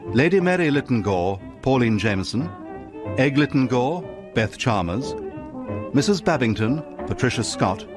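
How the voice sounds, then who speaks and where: A man reading scripted announcements and credits as a radio drama announcer. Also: mid-range voice. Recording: music under the voice.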